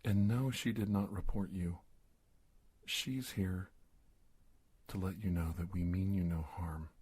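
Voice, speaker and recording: A man playing a cautious, polite government investigator in a soft-spoken ASMR roleplay, speaking haltingly and often dropping to a whisper. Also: deep voice, husky, exaggerated voice. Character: cautious, polite government investigator doctor confronting a disguised creature. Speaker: ASMR performer.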